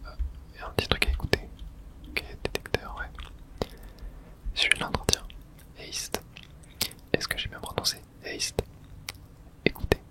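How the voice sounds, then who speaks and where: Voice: measured pace, long pauses. A whispering ASMR gamer of unclear sex. The delivery is unvoiced and close to the microphone, commenting on play step by step. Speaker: gaming ASMR streamer. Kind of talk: whispered ASMR gameplay commentary, reading game subtitles aloud.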